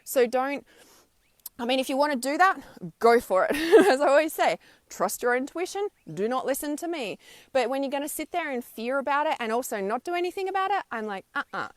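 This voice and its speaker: A woman's voice: high-pitched, animated, fairly quick, dry recording, clean studio sound. A woman in her thirties talking casually outdoors in an emphatic, chatty way, often tagging her points with "right?" and "okay?". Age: 30s. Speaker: spiritual vlogger. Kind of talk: casual livestream monologue. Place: outdoors, wind audible.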